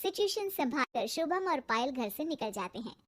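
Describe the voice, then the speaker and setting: A woman's voice: high voice, very animated, moderate pace. A woman reading scripted narration for a cartoon family story.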